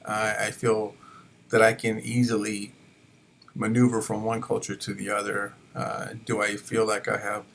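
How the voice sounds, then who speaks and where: A man's voice: lowish voice, completely flat delivery, a little slow, creaky, a bit bright, a little hiss or hum. A college faculty man reflecting on his teaching in a hesitant way, with many fillers and restarts. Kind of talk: reflective personal talk.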